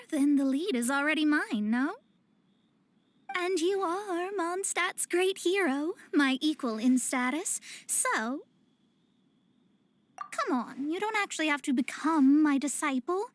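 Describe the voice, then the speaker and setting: A woman's voice: sits high, animated, a little slow, dry recording. A woman voicing a proud young woman astrologer in a video game, speaking in a pompous, formal, precise way. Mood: persuasive, grand. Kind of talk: scripted video game voice acting. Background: game music.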